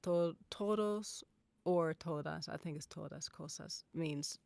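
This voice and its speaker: Lower-voiced woman, close dry sound. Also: slow pace, creaky, darkish tone, no room sound. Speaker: guest on fan after-show. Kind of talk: casual chat.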